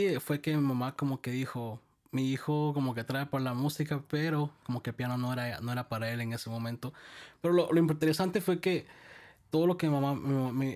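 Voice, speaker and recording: A man from El Salvador who directs a music school, relaxed and rambling as he tells his life story in an interview. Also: mid-range voice. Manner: relaxed, rambling, many restarts.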